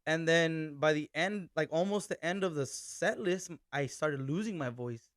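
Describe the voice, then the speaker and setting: A man's voice: on the high side, normal speed, a bit bright, no room sound, studio quality. Laid-back young man telling stories. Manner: laid-back storytelling, mixes Spanish phrases and swearing. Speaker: band vocalist and accordion player. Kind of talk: casual podcast chat.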